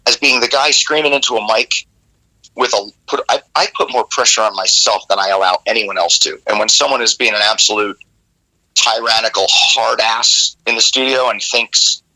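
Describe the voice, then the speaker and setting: Gravelly, sharp-voiced rock singer. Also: male voice, medium pitch, low-quality recording. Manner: candid, animated, casually profane. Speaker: guest, rock vocalist. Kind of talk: casual interview storytelling.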